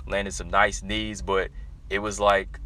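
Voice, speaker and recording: A young man casually breaking down a fight for his viewers, animated and talking straight to them as y'all. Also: low voice, measured pace, a little hiss or hum. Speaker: MMA analysis vlogger.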